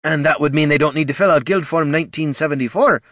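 A man voicing a gruff, grudging older male dwarf guildmaster who is fussy and snappish. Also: on the high side, fast talker, a bit bright, no echo, studio quality, pitched above the performer's own voice, natural voice.

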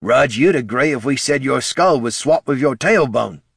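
A man's voice: fairly low pitch, steady tone, normal speed, no room sound, studio quality, lightly acted. A man voicing a grumbling, sarcastic undead heckler in a fantasy audiobook, muttering and snappy. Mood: snappy, sardonic.

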